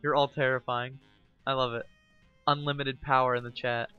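A male game streamer in casual live chat with viewers, excitable and teasing, laughing often. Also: medium pitch, moderate pace, clean tone, no echo, lo-fi sound, soft background music.

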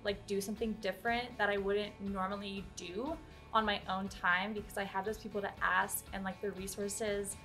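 A young woman design student answering interview questions in a relaxed way, saying like often. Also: medium pitch, moderate pace, soft background music. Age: young adult.

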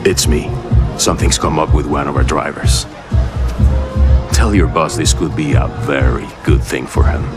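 An actor playing a smug, flirtatious street-racing boss who taunts with self-assurance in a game cutscene. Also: male voice, a bass voice, normal speed, over loud music, over a noisy crowd. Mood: businesslike. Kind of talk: video game cutscene acting.

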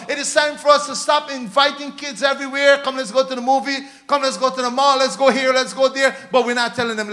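A man's voice: very high-pitched, bright, forceful tone. A man in his thirties from Guyana with a Guyanese accent, preaching a sermon into a handheld microphone with emphatic, repetitive exhortation. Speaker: pastor preaching. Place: church stage, indoors.

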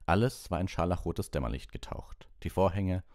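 A man reading an audiobook, telling the story in measured, expressive literary narration. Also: low-pitched, no room sound, clean studio sound.

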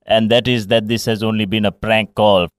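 Man playing a smooth, deadpan official doctor. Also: on the low side, moderate pace, no room sound, natural voice. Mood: deadpan, insistent. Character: officious doctor calmly investigating a complaint. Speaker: radio prank caller. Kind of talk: deadpan prank phone call.

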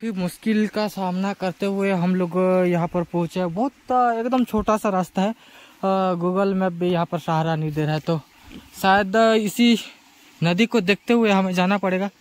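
A man's voice: high-pitched, a little slow. A man from Chhattisgarh chatting casually to viewers while riding a bike and hiking a forest trail, filmed on a body-worn camera. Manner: chatty, addresses viewers as guys. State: tired. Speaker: travel vlogger. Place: outdoors on rural roads and forest trails.

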